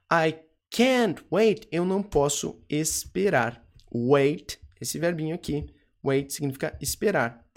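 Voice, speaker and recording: Teacher drilling phrases slowly. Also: medium pitch. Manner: slows down and repeats English words for drilling; informal, checks in with "tá?" and "ok?". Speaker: English teacher. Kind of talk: online English lesson explaining phrases.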